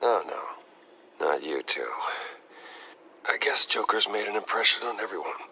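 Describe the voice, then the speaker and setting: Game hero: dry, brooding, slow and deadpan, tense bright tone, faint ambience behind. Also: male voice, lowish voice, slight background noise, heavily acted. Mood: weary, wry. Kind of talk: video game dialogue acting.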